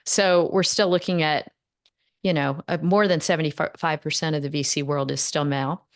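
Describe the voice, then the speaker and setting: Woman host, middle-aged, from northern california, lower-pitched voice, meandering questions with many ums. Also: fairly even intonation, normal speed. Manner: rambling, many fillers and restarts. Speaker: podcast host. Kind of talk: interview conversation.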